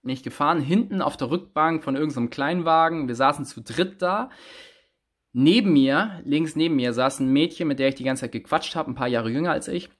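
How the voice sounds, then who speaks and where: A man in his thirties, a rapper, telling a story and chatting casually into a phone held close at arm's length in a small room, with lively, animated pitch swings. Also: a little fast. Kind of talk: casual selfie vlog storytelling.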